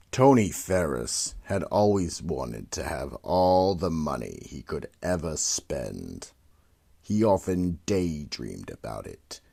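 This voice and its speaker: A man playing a sinister horror-anthology narrator, the Keeper of the Vault, with a deep gravelly rasp and slow, ominous delivery. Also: darkish tone, heavily acted. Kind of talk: 1940s-style radio horror narration.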